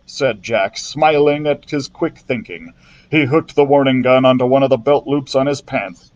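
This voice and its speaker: A male librarian reading a children's adventure book aloud in a steady storytelling manner, with the odd slip. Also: medium pitch, lo-fi sound. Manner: steady storytelling narration with small slips.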